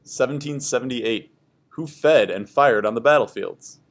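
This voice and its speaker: A man's voice: mid-range voice, moderate pace. A man reading scripted educational history narration aloud for a class.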